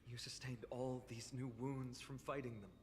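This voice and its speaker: A man playing an earnest, thoughtful young hero warrior in a video game, with measured, sincere delivery. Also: medium pitch, level tone, a little mellow, slight background noise, natural voice. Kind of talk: scripted video game voice acting. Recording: long reverb, game music behind.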